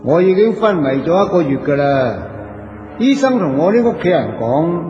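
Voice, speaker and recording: An actor with a Hong Kong Cantonese accent playing a cheeky, chatty, poor wandering soul in a radio drama, colloquial, playful and coaxing. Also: male voice, a little high, sing-song, normal speed, dark tone, faint music behind. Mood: complaining, chatty. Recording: dull echoey audio with long reverb.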